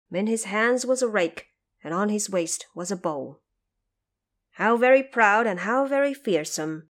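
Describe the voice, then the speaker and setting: A woman narrating a fantasy audio drama in a dramatic, scripted storytelling style. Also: mid-range voice.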